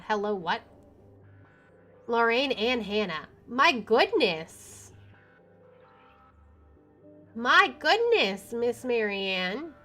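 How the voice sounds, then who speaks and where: A woman's voice: a little high, fairly bright tone. A woman streamer reading visual novel narration aloud, expressively, with casual chatty asides. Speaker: video game streamer.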